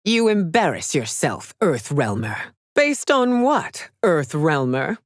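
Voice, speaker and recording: A woman's voice: low voice, big pitch swings, dry recording, clean studio sound, heavily acted. A woman playing a proud, stern warrior empress in a fighting video game, speaking in a formal, commanding, scornful manner. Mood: haughty, scornful. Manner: imperious, formal, measured, commanding. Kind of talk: theatrical video game character lines.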